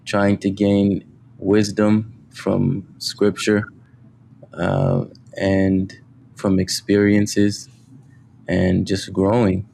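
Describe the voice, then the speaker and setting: A man speaking as a guest on a podcast, talking humbly about his work with many pauses and fillers. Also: low voice, flat delivery, gravelly, warm tone, a little hiss or hum. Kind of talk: casual interview.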